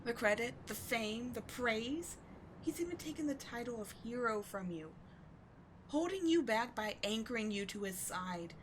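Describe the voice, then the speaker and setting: A passionate, embittered villainess, acted by a woman in a dramatic audio roleplay. Her voice sits on the high side and she speaks with theatrical, emphatic intensity, devotion showing under the scorn. There is noticeable noise behind the voice. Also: animated, moderate pace, natural voice. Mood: accusing, scornful. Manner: theatrical, intense, emphatic, with sighs and dropped whispers. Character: bitter, passionate female villain secretly devoted to a wounded sidekick. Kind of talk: dramatic audio roleplay monologue addressed to the listener.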